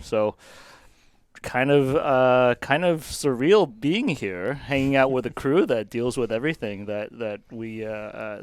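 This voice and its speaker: A man from Hong Kong, a guest on a podcast, talking casually and thoughtfully with pauses. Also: mid-range voice, clear, resonant tone, dry recording, clean studio sound. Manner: thoughtful, many fillers and restarts. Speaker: guest, YouTube channel co-host. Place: dark studio set with leather chairs.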